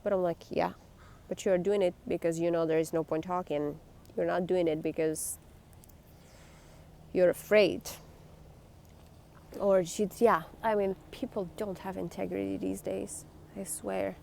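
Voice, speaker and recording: Blunt woman with a gravelly voice. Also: fairly low pitch, fairly even intonation, a little slow, pauses often, mellow, a little hiss or hum. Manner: blunt, emphatic and swearing. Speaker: vlogger. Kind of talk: candid talk to camera.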